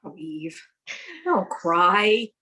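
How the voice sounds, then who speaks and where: Husky, excitable society wife played by a woman. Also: fairly low pitch, drawn-out words, heavily acted. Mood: firm, indignant. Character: warm-hearted society wife, excitable, primly indignant. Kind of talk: radio play acting.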